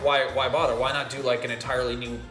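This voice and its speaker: A man talking casually as a panelist in a relaxed back-and-forth discussion. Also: mid-range voice, fast talker. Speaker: panelist on a video game talk show. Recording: roomy sound with music behind.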